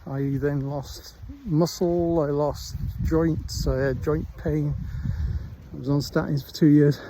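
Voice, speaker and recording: A man's voice: a little high, steady tone, background noise. A middle-aged man with a Welsh accent, chatting casually and unhurriedly into a handheld phone while walking his dog outdoors. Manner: rambling, unhurried chat with frequent pauses. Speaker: vlogger. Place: outdoors on a countryside dog walk.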